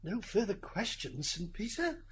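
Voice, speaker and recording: A woman's voice: mid-range voice, very animated, measured pace. A woman acting a fussy, stammering defence lawyer in an amateur radio drama courtroom. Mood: measured. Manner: stammering, pointed courtroom questioning. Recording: hissy amateur recording, background noise.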